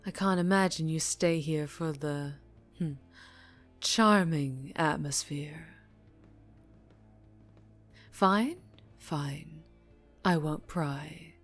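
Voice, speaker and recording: A woman acting as a slow, low-voiced female mafia boss. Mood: teasing, probing. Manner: slow, deliberate, polite with veiled menace. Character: dangerously charming, teasing female mafia boss. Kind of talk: ASMR roleplay acting.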